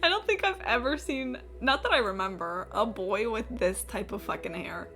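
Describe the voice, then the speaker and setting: Animated high-voiced woman streamer. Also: moderate pace. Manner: expressive storytelling with casual swearing. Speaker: live streamer playing a game. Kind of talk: casual chatty stream reaction commentary. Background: game music.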